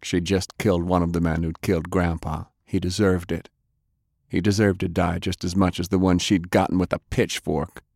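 A man's voice: low-pitched, little pitch movement, normal speed, vocal fry, a little mellow, dry recording, clean studio sound. A man narrating fiction as an audiobook reader, telling a scripted story in prose.

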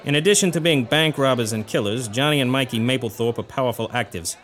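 A man's voice: medium pitch, fast, fairly bright tone, natural voice. A man playing a streetwise lawman and war veteran in a pulp audio drama, speaking in a dry, matter-of-fact way. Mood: dry, businesslike. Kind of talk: 1930s-set pulp audio drama acting.

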